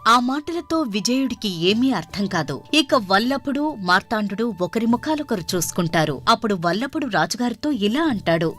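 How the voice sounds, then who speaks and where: A woman narrating a scripted folk tale in an expressive storybook style. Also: fairly high pitch, moderate pace, hardly any pauses, faint music behind.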